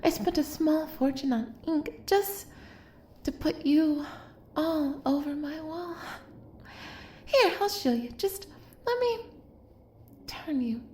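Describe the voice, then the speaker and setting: Woman acting as a sweet obsessive yandere kidnapper, very high voice, cutesy, gushing, coaxing, intimate roleplay audio, roomy echo. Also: animated, takes it slowly, long pauses, dark tone, a little hiss or hum. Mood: sheepish, eager.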